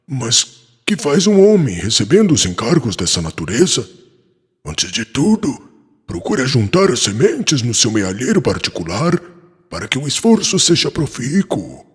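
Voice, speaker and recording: Actor as a very husky, wise spiritual mentor. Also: male voice, medium pitch, full character voice. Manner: solemn, measured, didactic. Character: wise, patient spiritual mentor counseling a disciple. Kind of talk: audiobook dramatized dialogue. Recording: long reverb on the voice.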